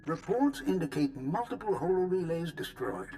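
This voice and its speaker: A man's voice: on the high side, sing-song, normal speed, mellow, a little hiss or hum. An actor playing an eager, precise male military scientist in a video game, with a clipped, technical, relishing delivery. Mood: matter-of-fact. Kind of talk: video game cutscene acting.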